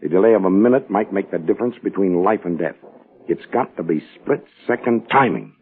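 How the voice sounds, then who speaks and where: A man's voice: sits low, lively intonation, brisk. A man playing a terse, exacting criminal schemer giving orders in a 1940s radio drama. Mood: tense, commanding. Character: commanding criminal schemer, brisk and exacting boss. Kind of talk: 1940s radio crime acting. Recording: muffled vintage 1940s radio recording.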